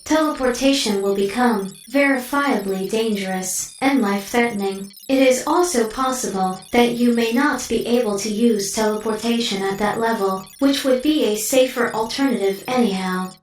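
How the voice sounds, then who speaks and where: Clinical female AI , voiced by a woman: higher register, literal, detached, distant echoing sound, music underneath. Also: natural voice. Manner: precise, formal, literal and detached. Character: coolly clinical female artificial intelligence delivering blunt data. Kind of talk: audio drama acting.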